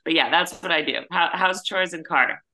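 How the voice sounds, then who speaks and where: Young woman, really bright voice, podcast guest just chatting, says like a lot. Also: lowish voice, moderate pace. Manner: informal, uses "like" heavily. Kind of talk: casual chat.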